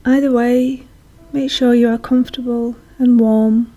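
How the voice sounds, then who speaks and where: A woman leads a guided meditation in a soothing, hushed manner, leaving long pauses. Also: a little high. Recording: soft music behind voice. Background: calm meditation music.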